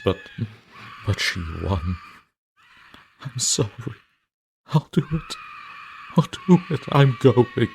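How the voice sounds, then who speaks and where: A man's voice: rumbling low, a little hiss or hum. A man playing an exhausted, guilt-ridden young man who is falling apart, reading his journal in a trembling, stammering, pleading voice. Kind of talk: horror story narration, journal reading.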